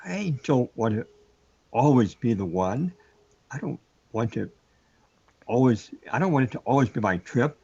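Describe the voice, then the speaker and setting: Troubled, self-doubting man played by a male actor, brooding and hesitant, husky delivery, muffled recording, surreal radio drama. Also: mid-range voice, lively intonation, measured pace, long pauses, mellow, heavily acted. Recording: dull, lo-fi audio.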